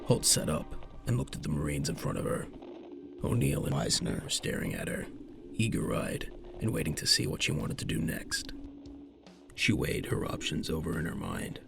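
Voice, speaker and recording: A man narrating a scripted science fiction audio drama in a measured storytelling manner. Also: extremely low pitch, big pitch swings, vocal fry, over music.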